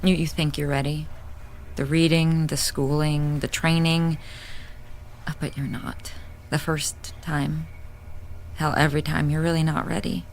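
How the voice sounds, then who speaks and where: A woman playing a grieving, wry hospice nurse in a naturalistic audio drama, confiding in a halting, candid way. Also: sits low, little pitch movement, a little hiss or hum. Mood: weary, raw. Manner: halting, confiding, dry-humored.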